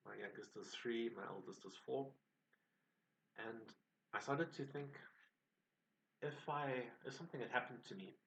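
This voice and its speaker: A South African man with a fairly low, gravelly voice talks in a measured, reflective way as he introduces his channel. He sits a little back from the mic. A faint hum of room ambience runs underneath. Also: fairly bright tone, recorded from a distance, low-quality recording. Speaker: channel host. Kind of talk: personal introduction to camera.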